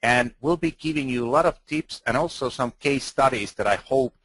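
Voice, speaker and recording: Finnish man, conference speaker, earnest and halting, dry close sound, mid pitch. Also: fairly bright tone, dry recording. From Finland. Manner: earnest, halting, with restarts and fillers. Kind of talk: presentation talk.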